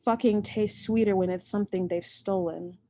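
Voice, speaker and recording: A young woman reads her poetry at home over a computer mic, her voice sitting at an ordinary middle pitch. She recites slowly and deliberately and gives each line its weight. Between poems she drops into loose, chatty remarks. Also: fairly even intonation, dark tone, no room sound. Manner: slow, measured, deliberate recitation. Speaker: poet and host of the reading. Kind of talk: poetry reading.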